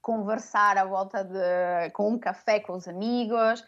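A woman's voice: mid-range voice, steady tone, a little slow, muffled recording. A woman who grew up in Venezuela and Madeira, speaking as an interview guest. She talks in a hesitant way, with frequent fillers and tag questions. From Venezuela, raised from 12 in Madeira. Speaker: guest, language teacher. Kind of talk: conversational interview.

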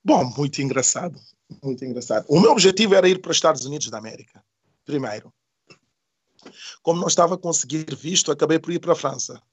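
A man's voice: fairly high pitch, animated, quick pace, pauses often, husky. A middle-aged man from Senegal, speaking as an interview guest, telling personal stories in a casual, reflective, hesitant way that repeats phrases. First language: not Portuguese.

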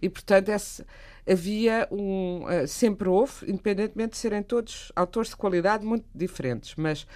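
A woman's voice: medium pitch, normal speed, a little mellow. A woman, telling a literary anecdote in a thoughtful way. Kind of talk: casual literary chat.

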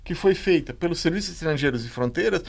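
A panel participant speaking spontaneously and haltingly, often breaking off phrases to start again. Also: mid-range voice, expressive, vocal fry. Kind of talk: spontaneous discussion.

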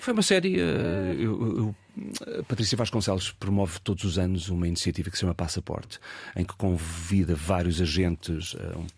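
A Portuguese man in his fifties with a European Portuguese accent, an actor talking reflectively in an interview, pausing and restarting as he thinks. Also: low-pitched, animated, a little mellow, breathy edge, dry recording. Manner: reflective, hesitant with many fillers and restarts. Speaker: actor and guest. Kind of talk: casual interview conversation.

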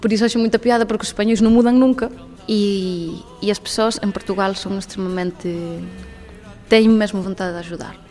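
Galician woman, twenties, lively and laughing, faint music bed. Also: mid-range voice, a little fast. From Galicia, Spain. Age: 20s. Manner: lively, laughs often, mixes Portuguese and Galician. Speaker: guest. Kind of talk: radio testimony.

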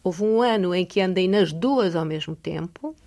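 A woman's voice: on the low side, normal speed, warm tone. A middle-aged woman from Poland, a native Polish speaker with a European Portuguese accent, talking thoughtfully as a radio interview guest with hesitant restarts.

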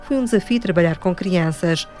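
A woman radio reporter reading scripted narration in a measured, even way. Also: fairly low pitch, faint music behind.

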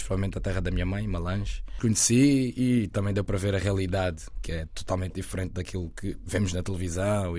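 Fast-talking young man, dry close sound. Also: on the low side, no echo. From Lisbon area, Portugal. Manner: relaxed, rambling, many fillers and restarts. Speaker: guest, actor and content creator. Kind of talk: casual interview talk.

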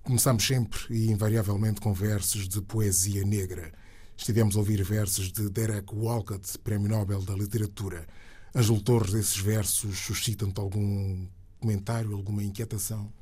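A man hosts a radio interview with a mellow, rather low voice. There is a husky grain to it. His delivery is measured and formal, with deliberate, literary wording. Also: flat delivery.

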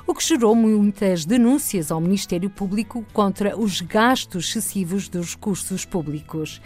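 A woman presenting a news magazine, reading a scripted introduction in a measured, formal way. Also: mid-range voice, a little mellow.

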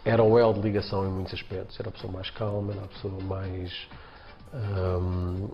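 A man's voice: low-pitched, level tone, brisk. A man with a European Portuguese accent recalling past days in an interview, as a singer. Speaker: former boy band singer. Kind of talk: interview recollection. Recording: dull archive interview clip, music over it.

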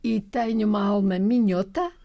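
A middle-aged woman with a European Portuguese accent, a guest in a relaxed radio interview, telling her story with warmth and frequent laughter. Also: mid-range voice, moderate pace. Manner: warm, laughing, lively storytelling.

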